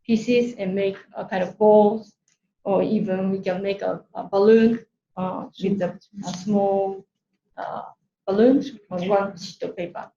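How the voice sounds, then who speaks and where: A woman's voice: medium pitch, fairly even intonation, a little slow, mellow, dull audio. A woman from Tokyo with a Japanese accent gives an informal online workshop presentation, hesitantly searching for words in her second language. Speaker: workshop speaker. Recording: roomy echo, clear background noise.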